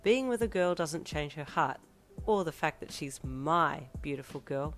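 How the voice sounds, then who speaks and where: A woman with a hoarse, failing voice reading a young male hero who is a doting new father, tender with his baby and teasing with his friend. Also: low voice, animated, a little slow, faint music behind. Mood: friendly, teasing. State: hoarse, losing her voice.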